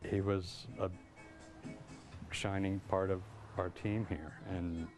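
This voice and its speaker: A man's voice: low voice, little pitch movement, takes it slowly, music in the background. A man speaking plainly and in a subdued way in a news interview. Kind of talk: interview soundbite.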